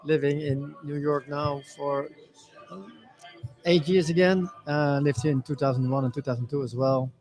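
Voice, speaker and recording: Halting man from the Netherlands. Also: a little high, steady tone, darkish tone, faint music behind, low-quality recording. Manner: halting, with fillers. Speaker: guest, club board member. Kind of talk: casual interview chat.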